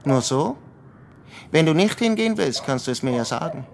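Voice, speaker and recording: A man's voice: fairly high pitch, lively intonation, normal speed, creaky, slight background noise. An actor in a radio play as a terse, guarded man who talks in clipped, evasive phrases. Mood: defensive. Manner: clipped, evasive short answers. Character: terse, guarded man reading news aloud to a woman. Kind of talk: naturalistic radio drama dialogue.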